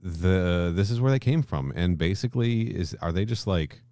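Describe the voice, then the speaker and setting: A man's voice: sits low, expressive, moderate pace, mellow, clear, resonant tone, no echo, studio quality. A man co-hosting a podcast in a casual discussion style, swearing freely and often checking in with questions. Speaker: podcast co-host.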